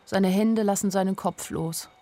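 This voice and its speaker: A woman's voice: medium pitch, fairly even intonation, moderate pace, mellow, natural voice. An actress playing an anxious, observant young woman who narrates her own story wistfully in a literary radio drama. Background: music.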